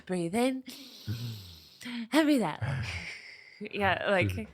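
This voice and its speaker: A woman's voice: medium pitch, drawn-out words. A woman co-hosting a comedy podcast, telling an anecdote in casual chat and acting out the quotes with lots of laughter. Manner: animated storyteller who acts out quotes, laughing often. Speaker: podcast co-host.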